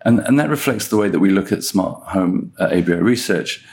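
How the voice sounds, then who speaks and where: A man hosts a podcast interview and frames each question at length. His voice is low and gravelly, with a creak running through it. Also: expressive, brisk, darkish tone. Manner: asks long framing questions. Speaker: guest host, research director.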